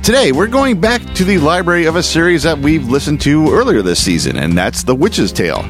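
A man's voice: a little high, sing-song, a little fast. A man hosting a podcast, reading a scripted, spooky introduction. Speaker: podcast host. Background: music.

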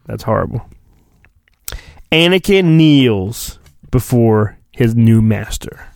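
A man's voice: medium pitch, animated, slow pace, creaky, no echo, clean studio sound. A man hosting a podcast, giving a hammy, irreverent storybook reading with joking asides. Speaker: podcast host.

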